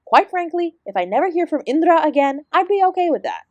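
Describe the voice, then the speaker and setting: A woman acts as an immortal celestial dancer and guru in an audio roleplay. Her voice is high and closely recorded with no room sound. She is sardonic and fiercely independent, addressing the listener with exasperated, emphatic bite. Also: sing-song, brisk, dry recording, muffled recording. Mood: defiant.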